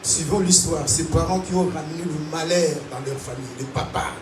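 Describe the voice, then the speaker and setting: High-voiced preacher in an echoing church hall. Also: male voice, fairly quick. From Africa. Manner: animated preaching with call-and-response. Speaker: pastor. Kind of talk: sermon. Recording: echoey hall, distant mic, noisy.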